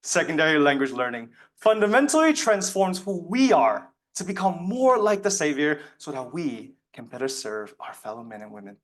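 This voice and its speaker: A young man whose native language is Mandarin gives a rehearsed persuasive speech. His voice is fairly high for a man and moves widely in pitch. He phrases earnestly, leaning on key words like a student orator. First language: Mandarin Chinese. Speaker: student competition speaker. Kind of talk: prepared persuasive public speech.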